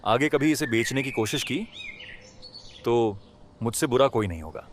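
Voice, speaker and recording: Animated, emotional villager man, acted out. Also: medium pitch, normal speed, slight background noise, lightly acted. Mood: angry, demanding. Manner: dramatic, pleading. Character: kind-hearted, emotional poor villager, doting on his rabbit. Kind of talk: Hindi animated moral story dialogue.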